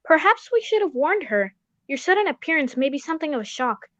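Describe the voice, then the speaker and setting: A genteel, old-fashioned gentleman doctor played by a woman in a radio mystery. The voice is high and formal, telling things in a measured way. The recording is dry and dull. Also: very animated, no room sound. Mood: earnest. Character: Doctor Watson, genteel older gentleman narrator and loyal companion. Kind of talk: old-time radio drama acting.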